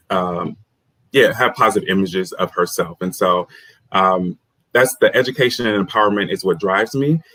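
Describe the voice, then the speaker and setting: Measured American guest with a lower voice, recorded dry and close. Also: male voice, a bit bright, no room sound, poor audio. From United States. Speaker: guest author, career coach. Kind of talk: interview answers.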